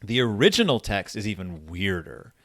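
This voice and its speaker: A male host talks through a story in a casual, conversational way. His pitch moves around a lot as he retells and reads passages, and the sound is dry and close with no room to it. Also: sing-song, normal speed, a bit bright, dry recording. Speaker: podcast host discussing horror fiction.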